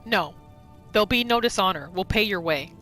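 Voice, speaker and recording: A woman's voice: medium pitch, expressive, moderate pace, fairly bright tone. A woman gaming YouTuber giving casual, story-like commentary as she narrates a roleplay playthrough. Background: game music.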